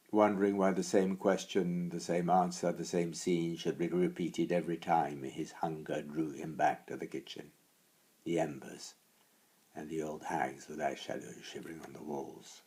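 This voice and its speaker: A man reading a dark storybook tale in a theatrical manner, moving between hushed and swelling phrases. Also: low-pitched, fairly even intonation, measured pace. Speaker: author reading his own story. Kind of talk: dramatic storybook narration.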